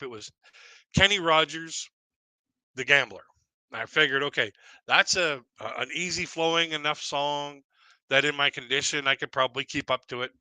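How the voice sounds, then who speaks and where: Middle aged guy doing standup on a stream, slightly high punchy voice, halting and rambly. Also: sharp tone, no room sound, rough recording. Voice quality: deep voice, by his own account. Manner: rambling, halting, self-deprecating. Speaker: stand-up comedian and live streamer. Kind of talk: casual comic storytelling on a live stream.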